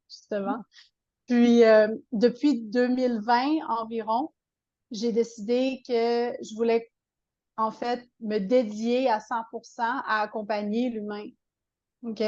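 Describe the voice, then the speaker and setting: A woman's voice: a little high, darkish tone, muffled recording. A woman with a Québécois French accent, a coach talking as an interview guest in a chatty way, full of fillers and restarts. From Quebec. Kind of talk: casual interview talk.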